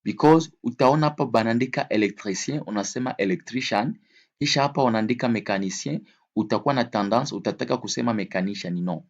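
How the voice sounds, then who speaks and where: Husky man teaching vocabulary. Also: mid-range voice, lo-fi sound. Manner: didactic, repeats key words slowly and clearly; switches between English, Swahili and French. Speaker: English teacher. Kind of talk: language lesson, explaining vocabulary with examples.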